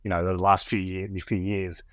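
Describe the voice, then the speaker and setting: A man's voice: deep voice, little pitch movement, normal speed, dry recording, studio quality. An Australian man speaking as a podcast guest in casual interview talk, often repeating words as he finds his phrasing. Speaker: podcast guest, business executive. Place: Austin, Texas (based).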